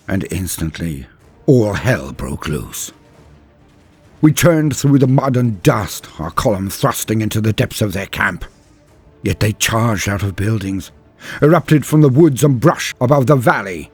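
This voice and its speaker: A man playing a grave, embittered space-marine veteran, solemnly narrating a dramatic war story in a husky voice with deliberate, heavy pauses. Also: lowish voice, darkish tone, heavily acted. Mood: proud, defiant. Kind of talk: dramatic scripted first-person story narration.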